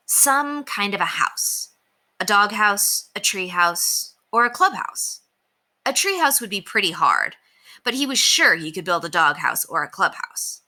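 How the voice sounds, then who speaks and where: Very bright, animated woman storybook narrator. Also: medium pitch. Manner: expressive, emphatic. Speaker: storybook reader. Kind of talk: expressive read-aloud narration of a children's book.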